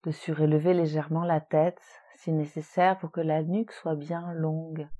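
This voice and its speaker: A woman leading a guided relaxation meditation in a soothing, gentle, unhurried manner with long pauses. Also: low-pitched, flat delivery, dull audio. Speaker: yoga nidra relaxation guide.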